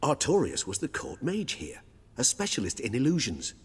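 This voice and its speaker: An actor playing an erudite, wry older man in a video game cutscene, eloquent and measured in his wording. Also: medium pitch, wildly swooping pitch, slightly rough, in a large hall, heavily acted.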